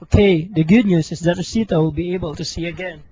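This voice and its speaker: A man's voice: sits high, fairly even intonation, quick pace, warm tone, slight background noise, lightly acted. A young man playing a lovesick, self-sacrificing hero in a student radio drama, earnest and melodramatic. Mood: earnest, defiant. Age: young adult. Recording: muffled amateur recording, music behind.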